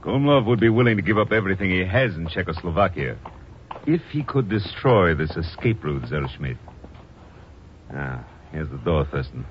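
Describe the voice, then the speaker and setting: An actor playing a cold, scheming secret police villain with formal, menacing speech in 1950s radio drama style. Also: male voice, low-pitched, very animated, moderate pace. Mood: cool, menacing. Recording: muffled, noisy old radio recording.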